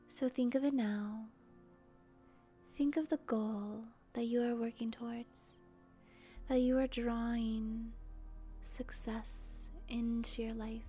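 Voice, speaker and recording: A woman leading a guided meditation in a soft, breathy, soothing voice that often trails into a near-whisper, deliberate and gentle with pauses. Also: on the high side. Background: soft music.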